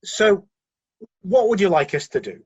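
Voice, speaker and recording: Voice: medium pitch, sing-song, a little slow, darkish tone, slightly rough, highs cut off. An actor playing a loyal, defensive celestial middle manager in a satirical radio play, breezily making corporate excuses and sounding mildly flustered.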